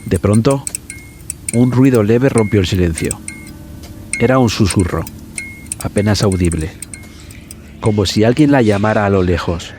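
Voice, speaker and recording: A man narrating a scripted suspense horror story for a podcast in a dramatic, measured way. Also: lowish voice. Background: background music.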